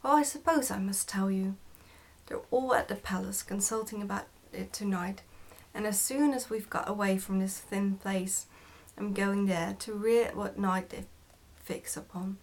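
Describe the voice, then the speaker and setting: A woman's voice: medium pitch, unhurried. A woman voicing a sly goblin father from a storybook, bossy and teasing with his family. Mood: scheming.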